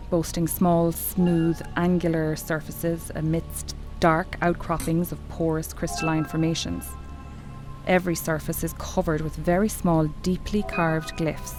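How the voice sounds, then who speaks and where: Lowish female voice, woman acting as a dedicated archeologist, scholarly and articulate, audio horror drama, music underneath. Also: natural voice. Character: dedicated female archeology professor, affectionately commanding, increasingly entranced. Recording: music bed behind the voice.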